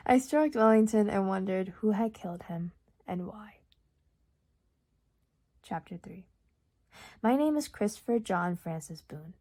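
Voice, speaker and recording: A young woman whose first language is Urdu, reading a novel aloud in a calm, measured way, dropping to near-whispers at times. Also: mid-range voice. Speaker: audiobook reader. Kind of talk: audiobook narration.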